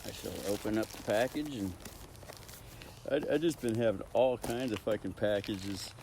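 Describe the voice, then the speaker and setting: A man's voice: lowish voice, normal speed. A man rambling casually in a home vlog, with a profane, unfiltered way of talking. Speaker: vlogger. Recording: clearly noisy ambient background.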